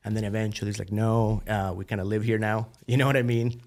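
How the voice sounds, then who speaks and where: A man in his thirties with a Colombian accent and a fairly low voice talks as a podcast guest. His telling is loose and animated, full of "dude" and "you know". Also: quick pace. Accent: Colombian Spanish. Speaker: podcast guest, biotech founder. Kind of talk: casual conversational interview.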